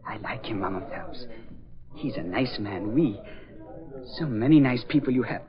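A man's voice: medium pitch, lively intonation, crowd noise, lightly acted. An actor playing a solemn, frightened young French boy with a French accent, in a vintage radio drama. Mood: earnest. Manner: earnest, formal, halting. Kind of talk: 1940s radio acting. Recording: dull old radio recording.

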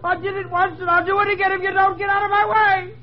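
Man playing a nervous guilty man, pitched high, agitated, stammering, radio drama, tinny noisy old recording. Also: big pitch swings, normal speed. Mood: hysterical, desperate. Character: nervous guilty man in late thirties, breaking into hysteria.

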